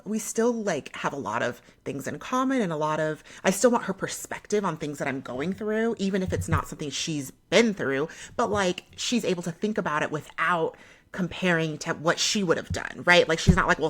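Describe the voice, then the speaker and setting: A woman's voice: on the low side, fairly bright tone. A young American woman hosting a podcast in casual conversation, chatty and explanatory, often checking in with the listener. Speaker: podcast host.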